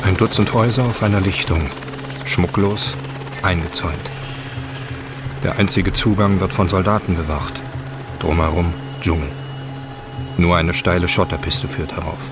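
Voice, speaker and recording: A man's voice: sits low, fairly even intonation, moderate pace, long pauses. A man narrating a scripted radio drama scene in terse, descriptive phrases. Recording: music and effects behind.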